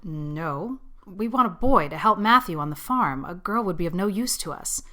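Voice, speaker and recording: A woman voicing a stern older farm woman in an audiobook, curt, brisk and unsentimental. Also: lowish voice, animated, bright, forceful tone. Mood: brisk, demanding. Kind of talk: audiobook character acting.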